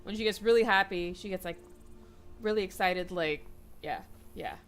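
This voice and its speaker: A tired young woman chatting in an excitable, self-deprecating way while playing a game on her channel. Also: medium pitch, normal speed, faint music behind. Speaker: gaming vlogger. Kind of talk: casual let's-play chat.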